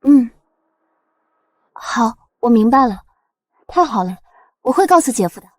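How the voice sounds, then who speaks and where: An actress playing an affectionate young woman in a family drama, speaking gently. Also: fairly high pitch, sing-song, measured pace, dry recording. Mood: gentle. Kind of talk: scripted drama acting.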